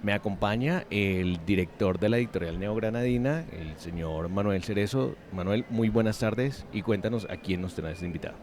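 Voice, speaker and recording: A man's voice: on the low side, normal speed, hardly any pauses, darkish tone. A man presenting and handing over to a guest in a broadcast-style introduction.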